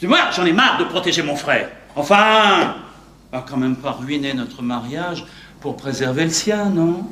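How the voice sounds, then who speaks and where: An actor playing an exasperated, scheming husband in a theatrical French farce, sarcastic and emphatic. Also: male voice, fairly high pitch, expressive, a little slow, bright, forceful tone. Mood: exasperated, confiding. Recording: echoey stage, noisy background.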